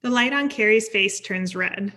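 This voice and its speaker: A woman's voice: mid-range voice, normal speed, bright, forceful tone. A woman reading stage directions as scripted narration, neutral and matter-of-fact. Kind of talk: scripted narration at a table read.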